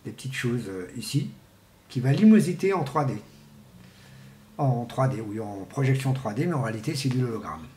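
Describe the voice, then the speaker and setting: A man giving an informal, rambling monologue to viewers, speaking haltingly and often repeating words and restarting. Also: mid-range voice, expressive, warm tone. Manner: often clears throat. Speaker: vlogger. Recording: echoey room with faint background noise.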